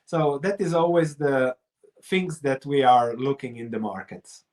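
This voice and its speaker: A man's voice: medium pitch, a little slow, a little mellow. A middle-aged man with a Croatian accent explains things step by step as an investor being interviewed. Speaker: investor and researcher, interview guest.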